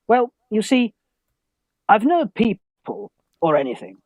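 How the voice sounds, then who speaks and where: An actor playing a timid, earnest young English gentleman student in a theatrical Victorian farce, polite, anxious and hesitant. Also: high-pitched, sing-song, slow pace, gravelly, no room sound, phone-quality sound, full character voice. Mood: fretful, earnest. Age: young adult. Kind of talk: theatrical radio farce acting.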